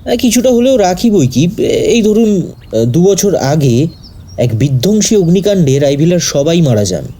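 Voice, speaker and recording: An actor playing a reserved, courteous doctor who chooses words hesitantly in an audio drama. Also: male voice, sits high, big pitch swings, normal speed, dark tone. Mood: hesitant, guarded. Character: reserved, polite male doctor, someone's grandson, explaining himself. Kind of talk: audio story acting. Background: background music.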